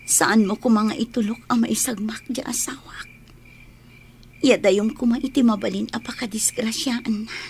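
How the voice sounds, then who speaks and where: A woman's voice: on the high side, slight background noise. An actress playing a worried, long-suffering wife and mother in an Ilocano radio drama, earnest and emotional, with sighs. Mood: anguished, whispering.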